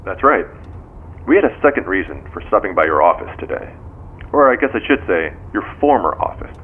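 A composed American police detective, a man who questions formally and carefully. His voice sits low but moves through lively inflections. It comes down a thin phone line in an audio drama. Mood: probing. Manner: measured, formal, probing. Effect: phone call. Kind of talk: modern audio drama dialogue. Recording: tinny phone line with background noise.

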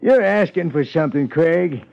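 A man's voice: a little high, expressive, moderate pace, creaky. Curt, menacing American gunman. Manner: curt, slangy tough talk. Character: short, menacing thirtyish male gunman, tough and sardonic. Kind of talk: 1950s radio crime drama acting. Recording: dull 1950s radio recording.

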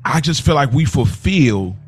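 A man's voice: mid-range voice, animated, a little slow, a little hiss or hum. A man giving impassioned opinions as a podcast panelist, talking in informal slang and repeating himself for emphasis.

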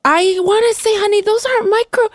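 A woman's voice: very high voice, steady tone, fairly quick, no room sound, clean studio sound. A woman co-hosting a casual comedy podcast, playful with emphatic exclamations. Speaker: podcast co-host.

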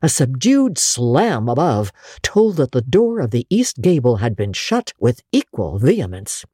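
A woman narrating classic literature as a dramatic audiobook, in a theatrical, deliberate and expressive way. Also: rumbling low, breathy edge, dry recording, studio quality.

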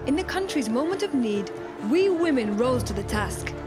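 Rousing historic woman, high and lively, drama clip with music. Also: normal speed. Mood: proud. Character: proud adult woman rallying in wartime.

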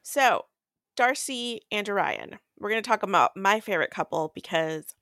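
A young woman podcast host with a medium-pitched voice, recorded close and dry. She chats casually through a book recap, irreverent and crude, swearing freely. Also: lively intonation, normal speed, fairly bright tone, dry recording.

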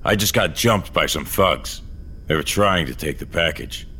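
Gruff low cyborg courier, hard-boiled n deadpan, short tired lines, sci-fi radio play, bit of background noise. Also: male voice, normal speed, exaggerated voice. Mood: irritated, suspicious. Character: gruff, wary cyborg courier man, world-weary and terse. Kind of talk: audio fiction acting, noir sci-fi.

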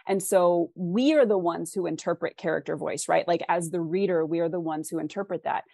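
A woman's voice: lowish voice, fairly quick, creaky, mellow, no room sound, clean studio sound. A woman speaking conversationally as an interview guest, explaining writing craft articulately with examples. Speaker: interview guest, fiction author.

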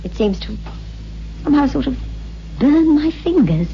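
A woman's voice: high voice, sing-song, normal speed, slight background noise. A woman playing an anguished, haunted widow in a theatrical, intense style of 1960s radio horror acting. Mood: uneasy, fascinated. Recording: muffled old radio recording with music.

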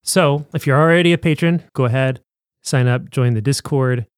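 A man co-hosting a casual podcast, pitching the listener community in an upbeat, promotional way. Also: moderate pace, clean tone, no room sound, clean studio sound. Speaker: podcast co-host.

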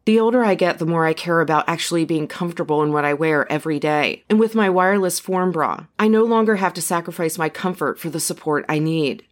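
A woman's voice: deep voice, fast, few pauses. A woman reading a podcast advert as a personal testimonial. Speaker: ad reader.

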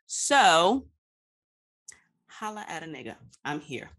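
Low, bright-voiced woman podcast host. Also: big pitch swings, takes it slowly. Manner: slangy, freely swearing, playful. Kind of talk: casual chat.

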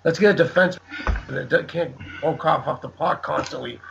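A man co-hosting a casual sports chat, ranting sarcastically and exasperated, his voice going hoarse. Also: a little high, normal speed, background noise. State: losing his voice. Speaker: podcast co-host.

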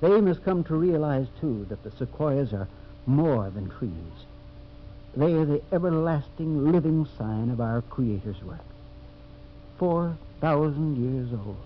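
A man's voice: a little high, animated, unhurried. An actor playing a devout, dignified older father, solemn and sincere, in a 1950s radio drama. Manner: solemn, sincere, plain-spoken. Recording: noisy, muffled vintage radio recording.

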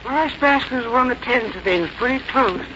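A woman plays an elderly, fussy American country mother in an old radio play. Her manner is plain-spoken, homespun and fondly bossy. The voice sits high, heard through a muffled, hissy vintage broadcast recording. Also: normal speed, natural voice. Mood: homely, bossy. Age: older. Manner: homespun, matter-of-fact rural turns of phrase. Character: brisk, fussy older farm mother, fond but bossy. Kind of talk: 1950s American radio drama acting.

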